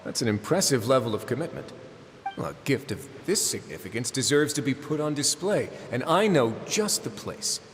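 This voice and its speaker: An actor playing a laid-back, wry male prison warden in a video game, with a relaxed, bantering way of talking. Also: mid-range voice, moderate pace, in a large hall, slight background noise, lightly acted.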